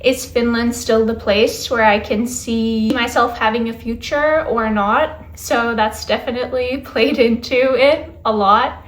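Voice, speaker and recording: A young woman vlogging to camera in a casual, chatty Q&A, speaking English that is not her first language, reflective and easygoing. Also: on the high side. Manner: chatty, reflective, with little laughs. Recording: echoey room.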